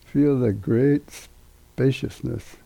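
Meditation teacher, older male, very dark mellow tone, husky, halting delivery with long pauses. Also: mid-range voice, no room sound, low-quality recording. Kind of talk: guided meditation instruction.

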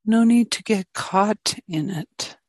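A woman meditation teacher giving guided meditation in gentle, spacious phrases with long pauses between them. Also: mid-range voice, dry recording, clean studio sound.

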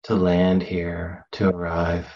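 Man, low pitch, very slow pace, gentle meditation teacher, long pauses, slightly muffled sound. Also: monotone. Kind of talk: guided meditation. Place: meditation center.

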